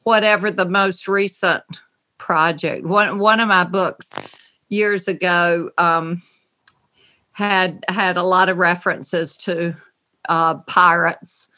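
Woman, Southern accent, middle-aged, slow pace, bright tone, thoughtful pauses, video call. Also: lowish voice, fairly even intonation. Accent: Southern American. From North Carolina, USA. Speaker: novelist guest. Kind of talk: relaxed interview on a video call. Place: home writing room.

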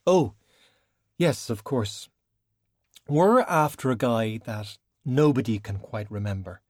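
A man's voice: mid-range voice, expressive, takes it slowly, dry recording, studio quality. A man voicing a casual, blunt, wry young Irish woman in an audiobook. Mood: frank, questioning.

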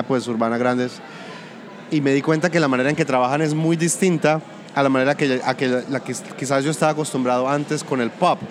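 A man from Colombia with a Colombian-Venezuelan border accent, a music producer talking through his work in a relaxed interview, pausing and restarting his sentences. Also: mid-range voice. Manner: thoughtful, restarts often. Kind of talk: casual interview conversation.

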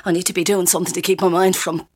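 A woman's voice: on the low side, level tone, very fast, darkish tone, lightly acted. An Irish woman acting in a radio drama as a brash, bitter, wounded café manager, blunt and agitated. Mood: tense.

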